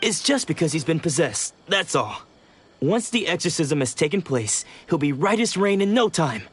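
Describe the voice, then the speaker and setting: A man's voice: a little high, sing-song, normal speed, husky. A man voicing a young sword-school disciple in a video game, earnest, formal and protective. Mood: reassuring. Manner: earnest, formal, polite but guarded. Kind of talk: video game character dialogue.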